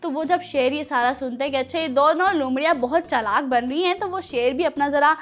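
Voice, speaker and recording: A woman's voice: high-pitched, fairly quick, fairly bright tone. A woman hosting a children's show, telling stories in a teacherly way with frequent questions to her listeners. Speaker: children's show host and storyteller. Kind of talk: storytelling to children.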